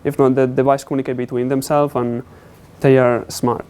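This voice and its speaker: Young man giving a halting technical presentation. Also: medium pitch, flat delivery, a little mellow, clean tone. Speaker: student presenter.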